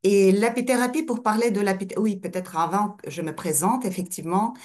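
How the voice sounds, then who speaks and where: A woman's voice: mid-range voice, fairly quick, darkish tone. A middle-aged woman, giving an introductory lecture as a guest speaker, hesitating as she searches for words. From Russia and Ukraine.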